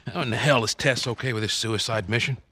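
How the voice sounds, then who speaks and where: An American man playing a gruff, sarcastic, paranoid middle-aged survivalist loner in a cinematic video game. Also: medium pitch, fast talker, sharp tone, a little hiss or hum, lightly acted. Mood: bitter, cynical. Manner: gruff, foul-mouthed, sarcastic. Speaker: game character actor.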